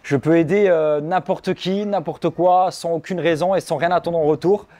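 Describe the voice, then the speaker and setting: Man with a Luxembourgish accent, halting. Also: fairly high pitch, steady tone, warm tone, roomy sound. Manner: halting, restarts phrases often. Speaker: vlogger answering fan questions. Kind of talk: casual Q&A chat.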